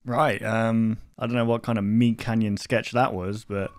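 A man's voice: lowish voice, little pitch movement, moderate pace. A man in his twenties giving laid-back, casual reaction commentary and reading on-screen text aloud. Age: 20s. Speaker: reaction video host. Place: small room at home, indoors. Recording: clear background noise.

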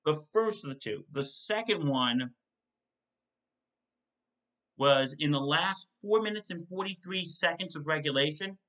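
A young man hosting a solo football postgame recap from his home kitchen, reading stat lines in quick lists and correcting himself as he goes. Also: on the high side, fairly bright tone. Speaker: sports show host. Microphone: desk mic in front of a webcam.

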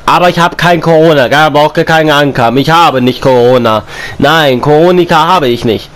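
A young man with a slight cold, chatting casually as he plays and comments on a console game, repeating and rhyming his lines and breaking into excited outbursts. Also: a little high, moderate pace. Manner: excited outbursts while playing. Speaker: gaming YouTuber.

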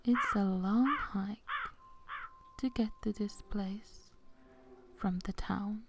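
A woman playing an eerily polite young gothic sister in a whispered ASMR horror roleplay, hushed, genteel and deliberate. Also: mid-range voice, background noise. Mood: courteous, eerie. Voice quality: soft, whispery.

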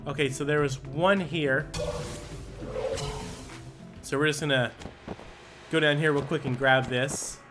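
A man giving let's-play commentary, thinking aloud and bursting into excited exclamations. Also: fairly high pitch, unhurried, long pauses. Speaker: gaming commentator. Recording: game music under the voice. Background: game music.

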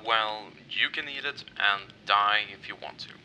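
A man voicing a sardonic, bored masked soldier in a comic dub, with a dry deadpan manner. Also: on the low side, a little slow, poor audio. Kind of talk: amateur comic dub voice acting. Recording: track with clear background noise.

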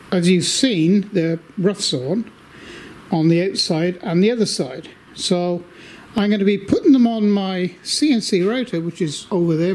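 Older guy chatting slow in his workshop, lots of ums, faint hum behind. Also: high-pitched, a little mellow, rough recording. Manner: slow, rambling, many fillers. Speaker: workshop video maker. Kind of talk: casual shop update. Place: home workshop, indoors.

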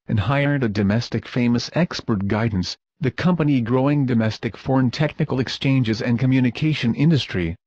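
A man's voice: mid-range voice, a little mellow, no echo. A man reading a scripted promotional voice-over for a factory, in stiff, awkward English. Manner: stiff, reads awkward non-native English text. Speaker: corporate video narrator. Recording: dull, lo-fi, dry recording.

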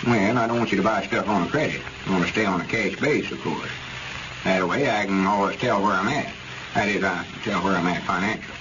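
An actor playing a worried, self-important old country store owner in folksy rural dialect, in 1930s radio comedy style. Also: male voice, deep voice, brisk, natural voice. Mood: explaining. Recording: muffled, noisy old radio recording.

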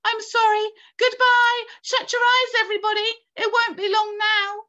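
A woman reads as an urgent, commanding young boy from a children's storybook. The voice is pitched high and exclaims its short orders. The recording is slightly muffled. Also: expressive, moderate pace, a bit bright. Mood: panicked. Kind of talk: children's storybook read aloud.

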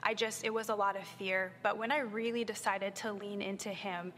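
Young woman, sincere faith testimony, flat even delivery, echoing hall. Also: mid-range voice, moderate pace, slight background noise. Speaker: church member sharing testimony. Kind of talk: reflective personal testimony. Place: large bright indoor hall, seated interview. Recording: reverberant hall with soft music behind.

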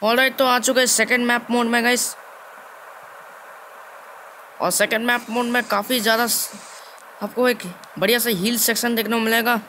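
A young man giving casual gameplay commentary as a video host, halting and repeating his phrases as he goes. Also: very high voice, little pitch movement, bright, forceful tone. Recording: clearly noisy game capture.

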